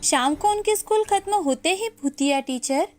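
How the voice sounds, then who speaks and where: Female storyteller, high voice, scripted kids' tale over soft music. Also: lively intonation, normal speed. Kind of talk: scripted children's story narration.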